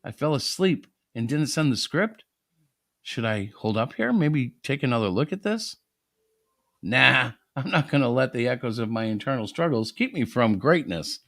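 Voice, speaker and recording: A man's voice: medium pitch, a bit bright, no room sound, clean studio sound, full character voice. A man playing a defensive, foul-mouthed screenwriter who rants indignantly in a dramatic story reading. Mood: smug. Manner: ranting, sweary, indignant. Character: defensive, foul-mouthed hotshot male screenwriter, easily outraged.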